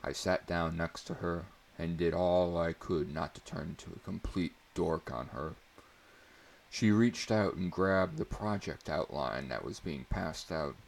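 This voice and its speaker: A man narrating a story as a dramatic reading, in a measured, theatrical manner. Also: low-pitched, creaky.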